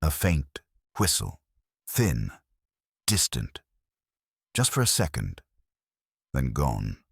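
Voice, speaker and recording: A man reads scripted mystery narration in a measured, deliberate way, with dramatic pauses and a husky voice. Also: wildly swooping pitch, no room sound, clean studio sound. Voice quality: very deep, husky. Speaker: story narrator.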